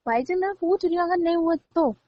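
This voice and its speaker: A woman's voice: very high-pitched, a little fast, dry recording. A young woman playing an upset, accusing girlfriend in a casual phone-call romance drama. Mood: insistent, annoyed. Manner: accusing, insistent, sulky. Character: upset young girlfriend blaming her boyfriend. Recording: dull, lo-fi phone call audio.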